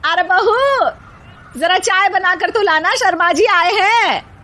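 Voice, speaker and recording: Woman acting a bossy, high-voiced mother-in-law. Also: slow pace, sharp tone, full character voice. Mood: bossy, calling out. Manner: commanding, scolding, theatrical. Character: bossy, exasperated mother-in-law scolding her daughters-in-law. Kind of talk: cartoon story character acting.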